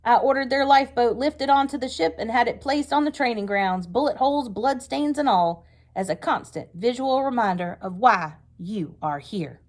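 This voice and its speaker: A woman's voice: on the high side, expressive, normal speed, voice pushed up. A woman reading a storybook aloud, voicing a fierce, hard-driving male wartime Navy commanding officer with stern, emphatic delivery. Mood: grim, stern. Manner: stern, forceful, emphatic speech-making. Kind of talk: read-aloud storybook character voice.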